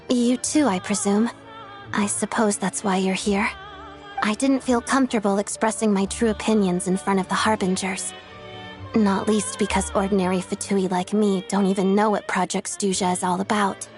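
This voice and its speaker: A woman playing a poised, principled young ballet star in a video game, speaking politely and deliberately. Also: medium pitch, steady tone, soft background music, lightly acted. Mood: earnest, troubled. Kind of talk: video game voice acting, measured dialogue.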